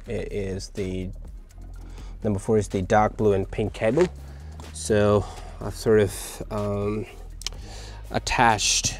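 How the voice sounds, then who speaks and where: A man's voice: on the low side, darkish tone. A man giving a casual hands-on explainer for a vlog, informal and thinking aloud as he works. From Australia. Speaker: vlogger showing a DIY car radio install.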